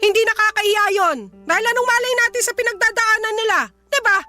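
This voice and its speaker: A woman's voice: extremely high pitch, steady tone, fast talker, bright, forceful tone, soft background music. A woman playing an exasperated, scolding widowed mother in a radio drama. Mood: insistent. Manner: scolding, emphatic, insistent. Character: exasperated nagging widowed Filipino mother, scolding her son.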